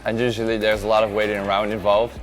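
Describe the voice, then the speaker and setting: Young Dutch man, low and even. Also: fast talker, over loud music, poor audio. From Netherlands. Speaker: backpacker. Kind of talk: casual testimonial.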